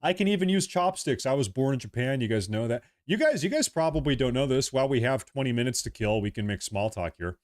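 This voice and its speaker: A man's voice: medium pitch, expressive, fast talker, few pauses. A young man hosting a casual livestream, rambling and repeating himself as he talks to his viewers. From United States. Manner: rambling, repeats himself, reads chat aloud. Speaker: livestream host.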